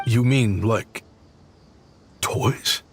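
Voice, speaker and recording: A man's voice: lowish voice, drawn-out words. A man voicing an earnest exiled scholar obsessed with weapons in a video game, eager yet hesitant, over music. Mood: resigned. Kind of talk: video game story voice acting.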